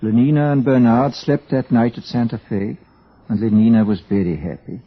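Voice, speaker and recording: A man's voice: medium pitch, fairly even intonation, moderate pace. A man giving scripted narration for a radio drama. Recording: dull, muffled old radio recording.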